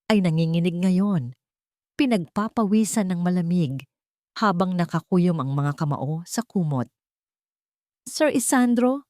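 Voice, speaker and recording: A woman narrating a scripted love story in a measured, solemn, dramatic manner. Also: on the low side, darkish tone, no room sound, studio quality.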